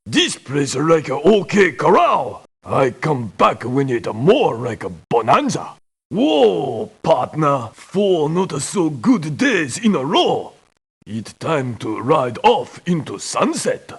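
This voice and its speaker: A cocky cowboy mercenary from a video game. He speaks very slowly in broken, slangy English, with a husky tone and folksy swagger. His pitch moves around a good deal. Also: big pitch swings, full character voice. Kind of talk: video game character voice lines.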